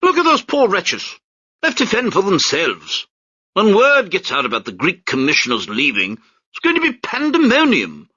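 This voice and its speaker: A man doing a pompous major, high and haughty. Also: huge pitch swings, normal speed, bright, forceful tone, husky, dry recording, dull audio, full character voice. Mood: condescending. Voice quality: haughty clipped drawl. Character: pompous upper-class British marine major, dismissive.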